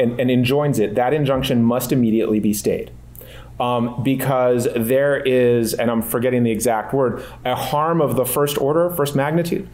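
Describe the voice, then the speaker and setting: Man on a legal panel, halting and thoughtful. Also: medium pitch, darkish tone. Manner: thoughtful, many fillers and restarts. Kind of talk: panel discussion answer.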